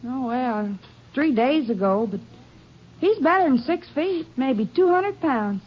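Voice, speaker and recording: A chatty roadside cafe woman with a high, folksy drawl. It sounds like a muffled old radio drama. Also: animated, measured pace. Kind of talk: 1950s radio drama acting. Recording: dull old radio broadcast.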